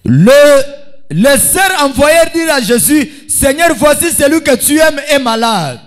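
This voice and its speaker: Man, pastor, Congolese accent, very high pitch, emphatic sermon delivery, short phrases, faint music behind. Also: big pitch swings, unhurried. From Democratic Republic of the Congo. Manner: emphatic, raised-voice preaching. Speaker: pastor preaching. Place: church meeting.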